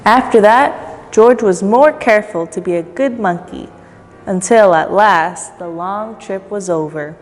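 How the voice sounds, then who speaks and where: A woman's voice: mid-range voice, measured pace. A woman reading a children's story aloud at storytime, expressively, with playful asides to young listeners. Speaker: volunteer storybook reader. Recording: long echoey reverb, faint music behind.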